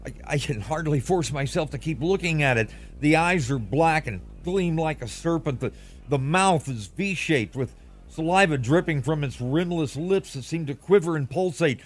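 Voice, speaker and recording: A higher-pitched frightened male reporter in an old-time radio play. He stammers and breaks off as he describes what he sees, sounding breathless and shaken. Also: animated, normal speed. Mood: awed horror.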